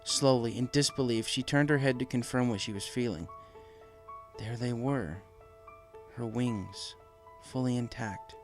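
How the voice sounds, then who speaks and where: A man reading scripted story narration as the narrator of a fan fiction audiobook. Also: mid-range voice. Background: music.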